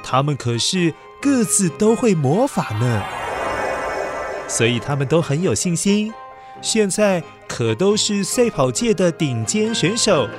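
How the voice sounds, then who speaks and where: A man narrates a children's story in an expressive, dramatic way, with exclamations and sound words. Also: high-pitched, unhurried. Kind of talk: animated scripted children's storytelling. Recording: music behind the voice. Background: music.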